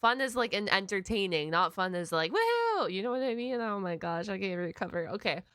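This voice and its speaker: A woman hosting a reaction video with casual, expressive commentary full of exclamations and slang. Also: mid-range voice, fairly quick, clean tone, no echo, studio quality.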